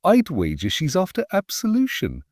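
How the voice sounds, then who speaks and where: Male narrator as gossipy publican's wife, moralising, raised pitch, swooping intonation, village mystery story. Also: huge pitch swings, normal speed, no echo, studio quality, heavily acted. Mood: suspicious, judging. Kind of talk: audio mystery story character voice.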